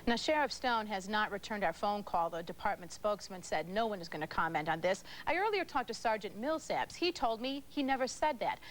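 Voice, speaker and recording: A woman's voice: medium pitch, expressive, a little fast, few pauses, a little hiss or hum, poor audio. A woman with an American accent delivering a broadcast news report as a television reporter.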